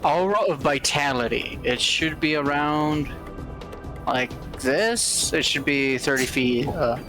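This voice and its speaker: A man's voice: on the high side, slow pace. A man chatting casually as a player in a tabletop roleplaying game, stammering a little and swearing now and then. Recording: music playing over the voice.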